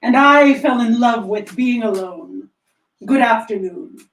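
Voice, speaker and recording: Give a roomy, slightly distant recording of a woman acting as a bitter miser uncle in a stage reading. The delivery is declamatory, curt and full of scorn, in a fairly high voice. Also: unhurried. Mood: curt, exasperated. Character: bitter, grumpy old miser uncle, male. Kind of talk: dramatic play reading.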